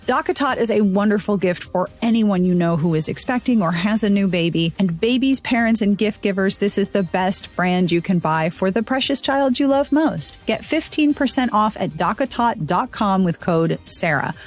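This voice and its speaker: An American woman hosting a podcast, reading sponsor spots and chatting with a guest in a bubbly, playful way. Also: medium pitch. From United States. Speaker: podcast host. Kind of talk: casual podcast chat and read ads.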